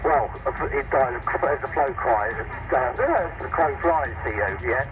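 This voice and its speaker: A man's voice: mid-range voice, expressive, measured pace, low-quality recording. Hesitant man on handheld radio. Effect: heard over handheld CB radio. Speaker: CB radio operator. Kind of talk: casual radio chat. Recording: handheld radio transmission with music behind.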